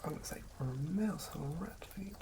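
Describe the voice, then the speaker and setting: A woman whispering in a breathy, unhurried ASMR style, muttering and repeating words as the host rambles through making a roleplaying character. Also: medium pitch, noisy background.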